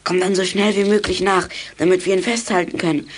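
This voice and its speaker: A woman's voice: fairly low pitch, steady tone, fairly quick, natural voice. A woman playing a plucky boy who leads a young detective gang in a children's radio play. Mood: decisive.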